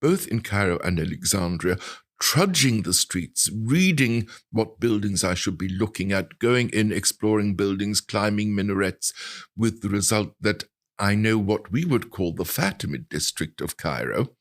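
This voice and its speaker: An older man of about seventy with an English accent, telling anecdotes in a reflective, articulate and measured way as an interview guest. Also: fairly low pitch, animated. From England. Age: older, around 70. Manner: articulate, measured storytelling with precise wording. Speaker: interview guest, translator and writer.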